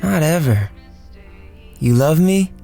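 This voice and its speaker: An actor playing a man who is a terse, slangy sweetheart, quick to argue, in an old-time style radio drama. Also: mid-range voice, lively intonation, vocal fry, warm tone, faint music behind. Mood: tender.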